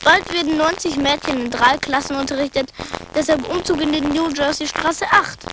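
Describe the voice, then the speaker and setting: A woman narrator reading a scripted documentary text in an even, list-like recitation. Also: sits high, moderate pace. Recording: noisy background ambience.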